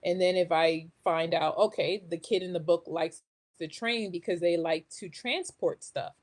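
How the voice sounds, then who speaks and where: A woman with a slightly low-set voice talks in a casual, conversational way. She explains like an educator, working through her thoughts by asking herself questions. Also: normal speed. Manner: talks through ideas with self-posed questions. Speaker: guest, children's craft maker and educator.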